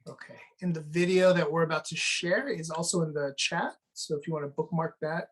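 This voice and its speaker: Woman hosting a video call chat, kinda low voice, easygoing. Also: fairly even intonation, brisk, slightly rough. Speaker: webinar moderator. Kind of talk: live online discussion.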